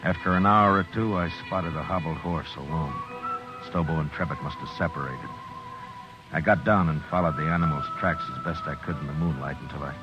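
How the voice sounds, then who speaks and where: Casting: tough frontier lawman, very low American voice, stern, vintage radio western, dull sound with music. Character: tough, stern US Marshal in the Old West. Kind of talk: 1950s radio western acting, first-person narration.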